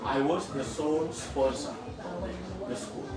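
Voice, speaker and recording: Middle-aged kenyan guy telling how his school started, earnest and slow, lots of uh's, sounds far off in a boomy room with noise behind. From western Kenya. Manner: earnest, unhurried, with frequent fillers and restarts. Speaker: school founder and headmaster. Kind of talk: interview answers, storytelling. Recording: echoey room, far from the mic, with noticeable background noise.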